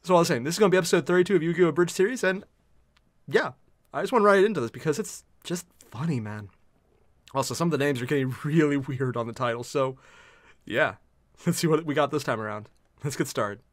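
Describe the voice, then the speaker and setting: An excitable man in his twenties hosts a reaction video from a room at home. He rambles and stammers with a widely swinging pitch. The sound is fairly dry. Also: fast talker, no echo. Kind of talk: casual reaction commentary. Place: small furnished room at home, indoors.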